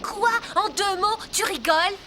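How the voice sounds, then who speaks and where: A woman's voice: very high voice, a little slow, heavy background noise. A woman voicing a grumpy, moody young female animal in a French cartoon dub, blunt and impatient. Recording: music behind the voice.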